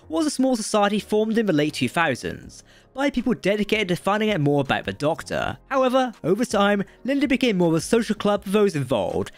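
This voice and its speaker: A man narrates a scripted explainer in a high, very lively voice. His phrasing is sing-song, falling away as sentences close. The voice-over is close and dry, with music playing underneath. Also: no echo. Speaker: video presenter. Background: background music.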